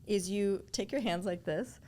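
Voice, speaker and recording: A woman with a voice in the middle of the usual range, talking like a coach. She explains things step by step in a clear, instructive way. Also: mid-range voice, unhurried, warm tone. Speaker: voice coach, guest. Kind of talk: explaining in casual chat.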